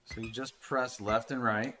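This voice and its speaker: A man's voice: mid-range voice, fairly even intonation, normal speed, sharp tone. A man in his thirties casually talking through a retro handheld game he is playing, rambling and swearing now and then, on a desk mic at home. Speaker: retro gaming channel host. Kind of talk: casual gameplay commentary.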